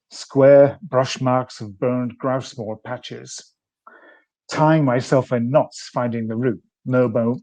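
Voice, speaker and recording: A man reading his own poem in a measured way, pausing between phrases as he lists images. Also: mid-range voice, dark tone. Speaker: poet. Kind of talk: poetry reading.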